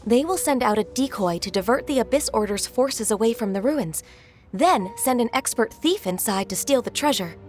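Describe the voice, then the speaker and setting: A woman playing a gentle, earnest young official in a video game, speaking in a measured way. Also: fairly high pitch, lively intonation, close to an everyday voice. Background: faint game music.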